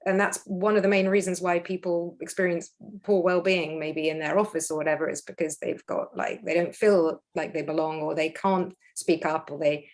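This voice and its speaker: A woman's voice: on the low side, steady tone, moderate pace, few pauses, darkish tone. A woman thinking aloud in a casual discussion, rambling with many fillers. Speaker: discussion participant.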